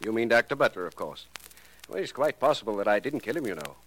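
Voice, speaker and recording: A self-assured villainous professor from old radio drama. His pitch swings widely as he delivers formal, mocking courtesies. Every phrase carries a polite threat. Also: very animated, fast talker, fairly bright tone, slight background noise, poor audio. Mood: smug menace. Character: suave, self-assured criminal professor, an extortionist. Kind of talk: 1940s radio acting.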